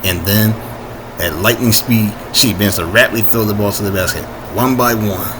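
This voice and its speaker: A man reading his own story informally, haltingly, with asides and frequent rereading to correct himself. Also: fairly low pitch, noisy background.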